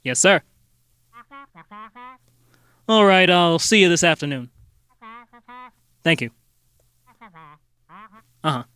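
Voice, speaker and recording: An actor playing an earnest, polite small-town amateur detective who talks in a halting, folksy way, in a comic radio-drama style. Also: male voice, fairly high pitch, animated, bright, forceful tone, clear, resonant tone, full character voice. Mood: chatty, obliging.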